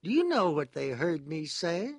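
A man's voice: a little high, big pitch swings, normal speed, no room sound, studio quality, pitched above the performer's own voice. A man voicing an eager, naively loyal male prisoner in an audiobook, earnest, chummy and plaintively proud. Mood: earnest dismay. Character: eager, doleful, self-blaming old chum prisoner, naively loyal.

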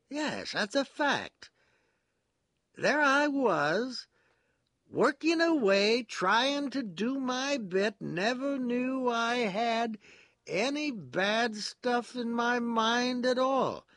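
A man's voice: extremely high pitch, fairly bright tone, no echo, clean studio sound, voice pushed up, exaggerated voice. A man voicing an eager, naively loyal male prisoner in an audiobook, earnest, chummy and plaintively proud. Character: eager, doleful, self-blaming old chum prisoner, naively loyal.

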